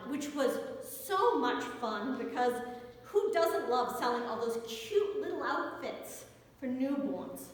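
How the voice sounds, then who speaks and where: A middle-aged American woman, a pastor, giving a storytelling sermon in an animated, wry manner inside a church. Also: high-pitched. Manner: animated, wry storyteller who acts out dialogue. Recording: echoey church hall with long reverb.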